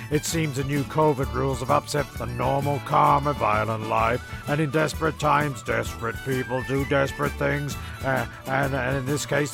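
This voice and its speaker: A man narrating and acting as a fussy, deadpan castaway in a comedic radio play, with a creaky voice. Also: mid-range voice. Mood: wry narrating.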